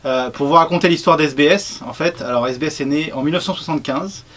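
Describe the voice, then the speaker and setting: A man's voice: fairly high pitch, fast. A man who heads a radio program, casually presenting his team and the station's history, hesitating often. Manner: relaxed, hesitant with many fillers.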